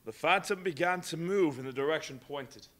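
Male stage narrator, measured delivery, bright and fairly high voice. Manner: measured storytelling. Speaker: narrator and actor. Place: large hall with audience.

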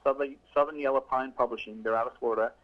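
A man's voice: medium pitch, fairly even intonation, fast talker, narrow, tinny audio. A middle-aged male author talking casually in an interview, rambling with many restarts and fillers. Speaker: author guest.

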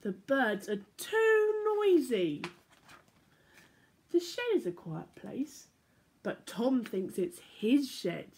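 A young woman reads children's picture books at a slow, unhurried pace, her voice swooping up and down with lively expression. She speaks from a living room into a laptop camera at arm's length, playful and clear for small listeners. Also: on the high side. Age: young adult. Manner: animated, playful read-aloud with sound effects. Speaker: church children's storyteller. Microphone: laptop or phone camera at arm's length.

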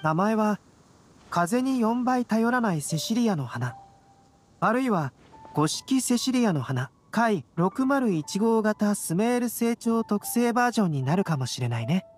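A man voicing a calm, intellectual young alchemist in a Japanese video game, dryly polite and deadpan. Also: high-pitched, a little slow. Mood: composed, dry. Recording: faint music behind the voice.

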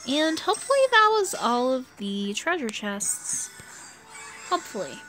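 A woman's voice: high voice, very animated, measured pace, a bit bright, poor audio. A tired American woman giving chatty, casual gameplay commentary, thinking aloud as she plays. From US East Coast. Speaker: gaming video host. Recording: game music behind the voice. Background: video game music.

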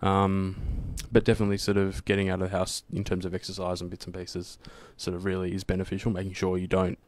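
Deep flat-voiced Australian man. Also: quick pace. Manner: relaxed, rambling, says sort of often. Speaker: panel guest. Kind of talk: casual panel chat. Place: church studio set, indoors. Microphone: handheld microphone.

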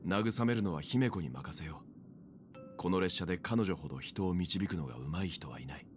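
A mature, composed mentor in an anime game. He has a deep voice and explains things thoughtfully and evenly, over clear background music. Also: lively intonation. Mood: gentle, reflective. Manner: measured, explanatory.

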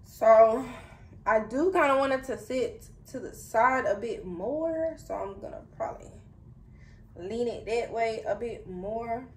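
A woman's voice: on the high side, unhurried. A woman chatting casually to viewers as a beauty vlogger, slangy, informal and candid in how she talks. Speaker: vlogger and wig seller. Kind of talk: casual beauty vlog chat. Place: indoor room. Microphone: phone camera at a distance. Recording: roomy, distant mic, faint noise.

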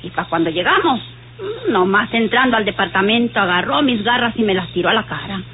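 A woman's voice: mid-range voice, a little fast, lightly acted. An actress playing a sly, scheming country woman in a radionovela, with a northern Mexican accent and colloquial rustic speech. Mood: feigned hurt. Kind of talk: old radionovela acting. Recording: noisy, tinny old radio recording.

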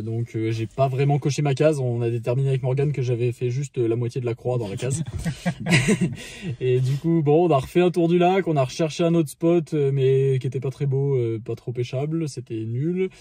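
A man's voice: medium pitch, dry recording, clean studio sound. A man in his thirties recapping a fishing trip to camera in casual, slang-filled talk with lots of fillers, filmed in a car on a phone held at arm's length. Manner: slangy, many fillers, excited storytelling. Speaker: fishing vlogger. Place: inside a parked car.

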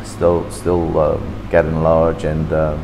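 A man's voice: very deep voice, slow pace, creaky, big-room sound, noisy background. A man in his fifties from Turkey, speaking English, chatting animatedly about his childhood in a café interview. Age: 50s. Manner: chatty, animated, gestures with hands, slightly broken English. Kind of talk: reminiscing in an interview. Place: café, seated at a table indoors. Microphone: camera across the table.

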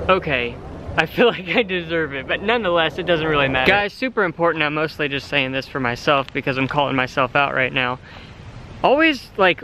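A man in his thirties talks into a camera held at arm's length, rambling and halting over his words. His voice is bright and sits a bit high. A faint hiss runs behind him. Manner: rambling, candid, halting with fillers. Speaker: vlogger and delivery driver. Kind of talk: casual self-filmed vlog talk. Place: inside a parked car at night, then outdoors in a park. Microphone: phone or camera held at arm's length.

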